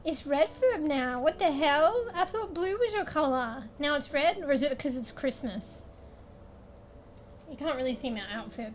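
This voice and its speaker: A young woman streamer, a little raspy today, chatting casually at home into a desk mic, rambling, laughing a lot and swearing now and then. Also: high voice. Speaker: game streamer. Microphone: desk microphone with fan blowing on it. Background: soft background music.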